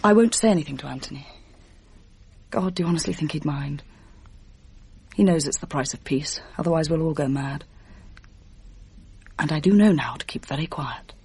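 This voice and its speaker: A wistful, unhappy young wife from a 1980s radio drama. Her voice is very breathy and earnest. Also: sits low, moderate pace, long pauses, darkish tone, full character voice.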